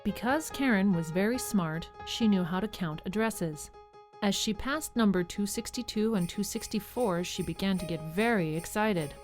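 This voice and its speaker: A woman narrating a scripted children's story in a measured way with dramatic pauses. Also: medium pitch, lively intonation, soft background music.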